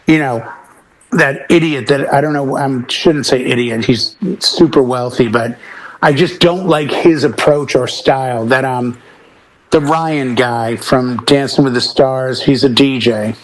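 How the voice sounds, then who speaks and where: A man's voice: mid-range voice, measured pace, low-quality recording. A middle-aged man chatting casually on a panel as a DJ, speaking emphatically and repeating words to drive points home. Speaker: talk show panelist, professional DJ.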